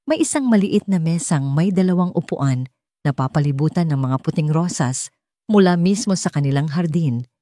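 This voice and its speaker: A woman's voice: lowish voice, dark tone, no room sound, studio quality. A woman with a Filipino accent reading a scripted romance story as an audiobook narrator.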